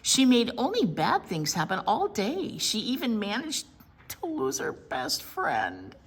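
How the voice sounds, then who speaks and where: A woman's voice: on the high side, wildly swooping pitch, a little slow, slightly rough. A woman reading a picture book aloud expressively as a children's storytime presenter.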